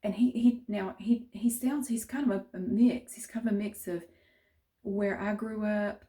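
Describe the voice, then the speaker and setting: A woman's voice: mid-range voice, steady tone, normal speed, mellow. A middle-aged woman from central North Carolina with a Southern accent, chatting casually to camera with many restarts. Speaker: vlogger.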